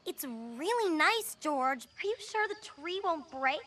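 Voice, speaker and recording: A woman's voice: high-pitched, very animated, a little slow, fairly bright tone, exaggerated voice. A woman voicing a curious, cautious young female friend in a jungle cartoon. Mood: admiring. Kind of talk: cartoon voice acting. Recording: music behind.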